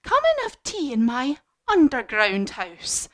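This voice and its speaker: A woman's voice: high voice, huge pitch swings, takes it slowly, voice pushed up, heavily acted. A woman voicing a sly male storybook fox, falsely inviting and easily spooked, with exaggerated delivery. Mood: coaxing.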